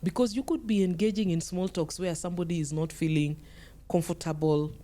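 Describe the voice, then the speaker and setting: A middle-aged woman with a fairly low, mellow and relaxed voice. She talks as a podcast guest giving advice, conversational yet emphatic, often repeating a phrase to drive it in. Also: fairly quick.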